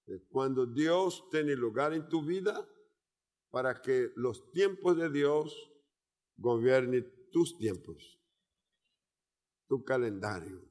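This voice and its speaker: A man with a Portuguese accent, a Portuguese native speaker preaching a sermon as a missionary, speaking in slow, deliberate phrases with measured pauses. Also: mid-range voice, animated, a little mellow. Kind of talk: sermon with personal testimony. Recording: long reverb of a large hall.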